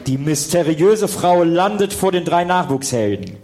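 A man's voice: on the high side, very animated, a little slow, a little mellow, a little hiss or hum. A man reading scripted, dramatic narration for a comic audio drama.

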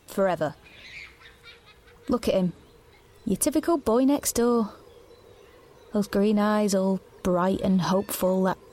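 A woman's voice: medium pitch, darkish tone, lightly acted. An actress playing a wry, reflective young woman who narrates her own story confidingly in a sci-fi audio drama. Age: young adult. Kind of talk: first-person scripted drama narration.

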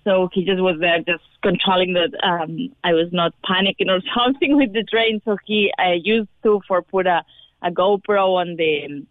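A woman's voice: fairly low pitch, moderate pace, no echo. A woman from Spain with a Spanish accent, a native Spanish speaker, talking as a caller in hesitant, simple English full of fillers. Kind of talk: phone interview. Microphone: telephone. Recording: phone line.

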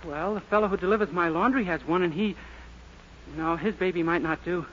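An actor playing a naive, earnest, boyish young lodger in a 1940s radio sitcom. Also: male voice, sits high, brisk, fairly bright tone. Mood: fretful. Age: young adult. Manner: earnest, innocent, sheepish. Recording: muffled, very noisy old radio recording.

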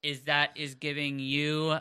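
A woman's voice: sits low, flat delivery, unhurried, sharp tone, smooth, no room sound, clean studio sound. A woman, co-hosting a podcast in a chatty, rambling way with fillers and restarts. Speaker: podcast co-host. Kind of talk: casual podcast chat. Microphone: headphones on, close mic.

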